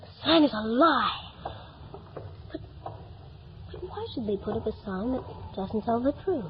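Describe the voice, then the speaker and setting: Eager, high-voiced young boy. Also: expressive, a little slow. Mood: excited, urgent. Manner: earnest, breathless, questioning. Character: eager, polite, wide-eyed young boy. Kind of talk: 1950s radio drama acting. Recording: muffled, noisy 1950s radio recording.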